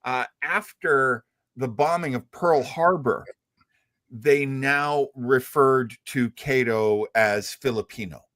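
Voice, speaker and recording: A man hosting a talk show, explaining things in a halting, thoughtful, conversational way. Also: mid-range voice, fairly bright tone, no echo. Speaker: host of a retro radio show.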